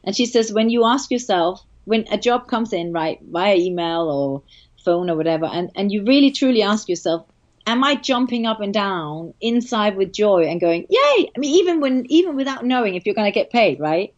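A woman with a Danish accent chats as a podcast guest, lively and enthusiastic as she tells her story. Her voice sits in a middle register. The recording is a little dull and lo-fi. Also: mid-range voice, moderate pace. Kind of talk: casual interview chat.

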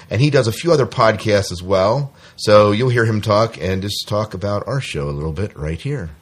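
A man with a low-pitched voice hosts a podcast. He talks informally and casually, with a joking, self-deprecating streak. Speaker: podcast host. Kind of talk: casual hosting chat.

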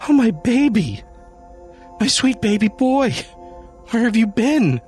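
Grief-stricken mother, shrill and desperate; a man reads her in a slow storybook voice. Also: sharp tone, soft background music. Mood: frantic. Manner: pleading, high and shrill. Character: grief-stricken woman, frantic, clinging to hope.

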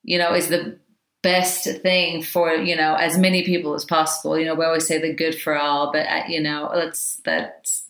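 A woman teaching Chinese medicine in a loud, chatty way, full of asides. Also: sits low, little pitch movement, normal speed. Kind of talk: informal teaching talk. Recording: echoey room.

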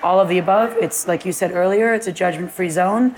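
A middle-aged woman with a deep, husky voice, speaking as a guest in a live onstage conversation. She talks in long, flowing runs and laughs often. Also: fairly quick, darkish tone. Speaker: guest, fitness class founder. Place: event space before a live audience.

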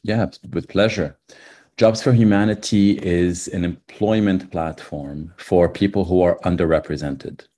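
Deep, mellow man from Lebanon. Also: fairly even intonation, unhurried. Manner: thoughtful, many fillers. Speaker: guest, nonprofit CEO. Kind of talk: interview answers.

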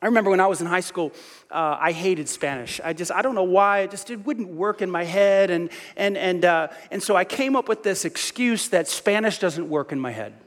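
A middle-aged man preaching a sermon as pastor, lively and self-mocking, often restarting his sentences. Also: high-pitched, moderate pace, in a large hall.

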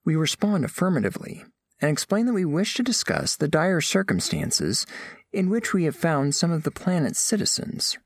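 Man voicing a formal, lilting alien scholar, creaky. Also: fairly high pitch, very animated, moderate pace, heavily acted. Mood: formal, solemn. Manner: formal, stilted, precise diction. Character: earnest alien scholar diplomat narrating observations of humans. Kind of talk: scripted audio drama narration.

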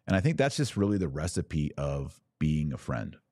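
Male podcast host, low mellow voice, earnest and exhorting, talking informally straight to his audience. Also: sing-song, normal speed, no room sound, studio quality. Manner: earnest, exhorting, poses questions and answers them. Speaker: podcast host answering live viewers. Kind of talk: informal livestream advice monologue.

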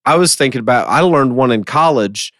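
A man's voice: medium pitch, lively intonation, a little fast, a bit bright, no echo, studio quality. A man chatting casually as the host of a podcast.

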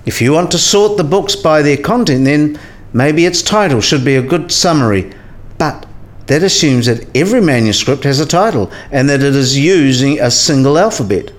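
A man's voice: on the high side, animated, normal speed, natural voice. A man playing a learned, teasing university colleague in a radio play, speaking in a mock-formal, lecturing way. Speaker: academic character in a radio play. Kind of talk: scripted radio play dialogue.

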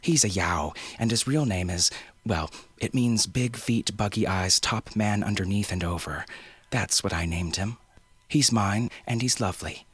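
A man's voice: fairly low pitch, measured pace, slightly rough, no echo. A man voicing a cheerful, chattering five-year-old island girl. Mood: delighted. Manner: eager, breathless chatter.

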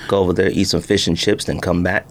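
A man's voice: low-pitched, little pitch movement, quick pace, slight background noise. A man co-hosting a podcast, bantering casually about sneakers in slangy, storytelling talk. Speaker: podcast co-host.

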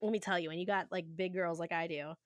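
A woman's voice: lowish voice, fairly even intonation, fast, no room sound. A woman hosting a podcast in casual conversation, talking in a candid, emphatic, chatty way with free swearing. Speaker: podcast host.

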